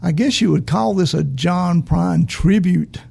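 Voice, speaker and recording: A man hosting a casual interview, gravelly and husky, relaxed and quick to laugh. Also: sits high. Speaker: host.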